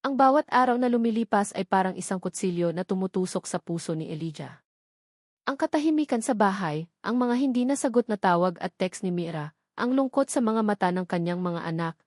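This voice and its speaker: A woman's voice: mid-range voice, a little mellow, dry recording, clean studio sound. A woman reading scripted, dramatic story narration as the narrator of a romance audio drama.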